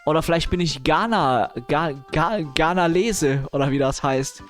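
Guy streaming, kinda high voice, slangy grumbly chat w english gamer words, music playing behind. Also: normal speed, fairly bright tone. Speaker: live streamer. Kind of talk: casual livestream chat, reacting to news and viewers.

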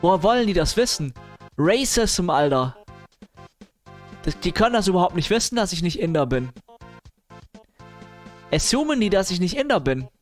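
A man's voice: high voice, sing-song, moderate pace, long pauses, faint music behind. A man chatting casually as a live streamer, mixing in English gamer slang and grumbling asides. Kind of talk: casual livestream chat, reacting to news and viewers.

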